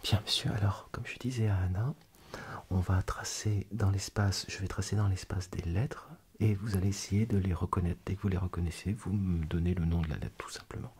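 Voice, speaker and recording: A man playing a calm, reassuring doctor in a soft-spoken, hesitant French ASMR medical roleplay. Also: low voice, fairly quick, husky, exaggerated voice. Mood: calm, instructing. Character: calm, reassuring male doctor treating a strange sensory illness.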